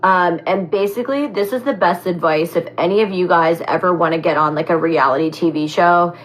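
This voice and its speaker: A raspy young woman answering fan questions in a casual, animated chat, full of "like" and "um". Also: lowish voice, a little fast, poor audio. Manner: animated, chatty, heavy on fillers like "like" and "um". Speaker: former reality show contestant.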